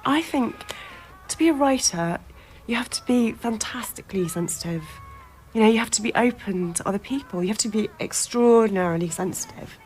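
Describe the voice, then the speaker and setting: An actress playing a self-absorbed, talkative young film crew assistant in a naturalistic radio drama. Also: female voice, a little high, lively intonation, brisk, a little mellow, lightly acted, poor audio. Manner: talkative, self-regarding, oblivious.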